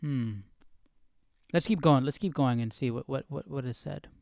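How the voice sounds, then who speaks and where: A man's voice: mid-range voice, normal speed, gravelly, a little mellow, no echo. A man hosting a live Bible study, thinking aloud in casual commentary and puzzling through questions as he goes.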